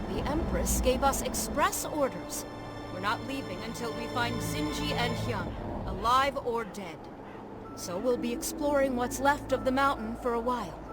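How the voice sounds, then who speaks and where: A woman's voice: on the high side, lightly acted. A woman playing a wry, reassuring military officer in a fantasy video game. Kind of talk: scripted video game voice acting. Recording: voice under loud game music. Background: loud music.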